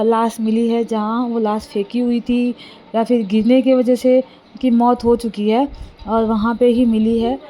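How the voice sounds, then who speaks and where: A woman recounting a news case informally and unscripted, halting and correcting herself as she explains. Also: a little high, mellow, slight background noise, poor audio.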